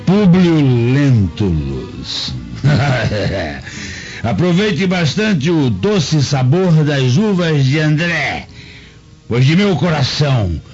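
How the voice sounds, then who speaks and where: A man's voice: mid-range voice, big pitch swings, drawn-out words, a little mellow. An actor in a radio drama playing a drunk, bitter, vengeful poor man who rambles and taunts. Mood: vengeful, taunting. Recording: muffled radio drama, music behind.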